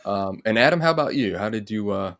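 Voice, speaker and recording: A man's voice: on the low side, lively intonation, quick pace, gravelly, fairly bright tone. A middle-aged man, hosting a casual interview. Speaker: host. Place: indoor home room, on a video call.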